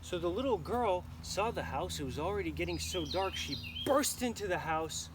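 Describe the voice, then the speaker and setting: A man telling a folktale live to children in an expressive, dramatic manner, pausing to ask his listeners questions. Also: sits high. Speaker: storyteller. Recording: noisy live recording, clear background noise.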